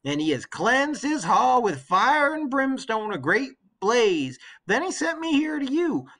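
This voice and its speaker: A man voicing an excited, insistent old nursemaid in a storybook reading, exclamatory and emphatic. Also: very high voice, a little slow, voice pushed up, full character voice. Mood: excited, joyful.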